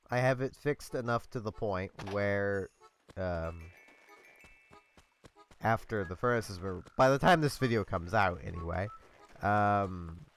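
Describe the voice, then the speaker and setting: A stuffed-up man talks at his home gaming setup, his fairly low voice rambling along between ums and little laughs. It is loose, casual gameplay commentary into a desk mic. Faint music plays underneath. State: congested. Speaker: let's play host.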